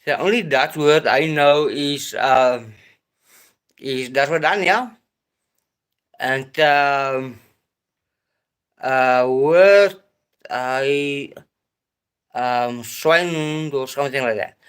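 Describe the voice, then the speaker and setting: A South African man chatting on his own live stream. He talks at an unhurried, drawn-out pace and often stops to restart his sentences. His voice sits at an ordinary middle pitch. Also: a bit bright, smooth. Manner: hesitant, halting, with frequent pauses and restarts. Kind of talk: casual live-stream chat.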